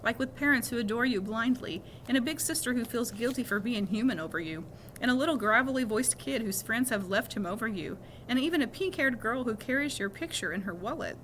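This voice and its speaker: Female reader, bright and slightly high, for children's fiction read aloud; faint noise behind. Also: animated, fairly quick, rough recording.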